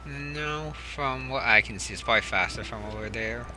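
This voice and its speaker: A man hosting a gaming channel, musing aloud in relaxed, casual gameplay commentary. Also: medium pitch, moderate pace. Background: game music.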